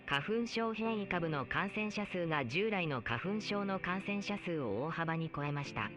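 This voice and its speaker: A man playing a formal evening news announcer, reading a scripted bulletin in a measured way. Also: sits high, animated, music in the background.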